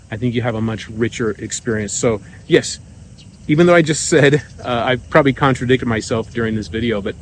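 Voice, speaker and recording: A middle-aged man talking in a relaxed, rambling way, with many fillers and restarts, as a vlogger sharing his views. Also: mid-range voice, slight background noise. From United States. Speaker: vlogger living abroad. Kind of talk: casual monologue.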